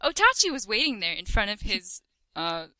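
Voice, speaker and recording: A woman's voice: medium pitch, sing-song, moderate pace, pushed and bright, no echo, poor audio. A woman reading a parody fan story aloud with a friend, often breaking into giggles mid-sentence. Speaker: co-reader.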